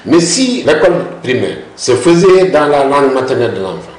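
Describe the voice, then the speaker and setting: A man's voice: mid-range voice, big pitch swings, a little fast. A man, an expert guest who explains at length and insists on his points, often cutting in with repeated words. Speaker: language policy expert guest. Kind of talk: discussion interview. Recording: roomy echo.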